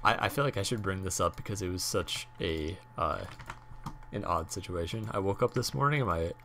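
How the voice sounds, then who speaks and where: A young man with a hoarse voice from a cold, rambling casually with many fillers while talking about a game he streams. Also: sits low, expressive, a little fast, fairly bright tone, a little hiss or hum. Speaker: gaming YouTuber and streamer. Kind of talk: casual talk over gameplay.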